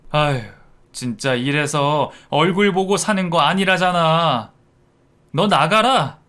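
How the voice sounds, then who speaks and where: A man's voice: on the high side, a bit bright. A man voicing a hot-tempered adult son in a narrated story, blunt, scolding and contemptuous as he defends his mother. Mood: disgusted, angry. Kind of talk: acted dialogue in a narrated story.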